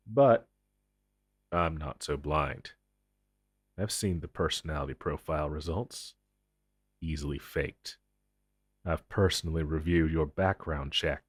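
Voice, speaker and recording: Male actor as stern, distrustful agent for superhero audio drama: very low voice, slow and deliberate, cold sarcasm, close dry sound. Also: no room sound, full character voice. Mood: suspicious, sardonic. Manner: deliberate, cold, sardonic, with sudden emphatic swells. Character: menacing, suspicious male government agent interrogating a recruit. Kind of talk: dramatic audio roleplay monologue.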